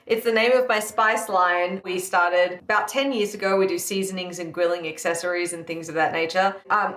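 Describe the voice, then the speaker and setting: A woman's voice: mid-range voice, a bit bright. A woman with an Australian accent in her thirties, answering interview questions in a chatty, upbeat way with many fillers. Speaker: guest, live fire cook. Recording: echoey room.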